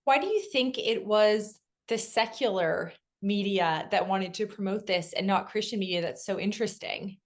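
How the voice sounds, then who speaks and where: A woman's voice: mid-range voice, normal speed, few pauses. A woman interviewing a guest, asking questions in an engaged, affirming way.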